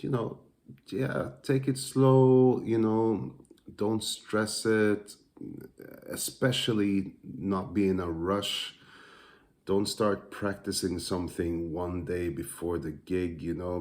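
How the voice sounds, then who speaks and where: Slow, low-voiced Norwegian man musing. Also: a little mellow. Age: adult father. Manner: thoughtful, hesitant, many pauses and fillers. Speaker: musician and music teacher. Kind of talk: casual reflective monologue.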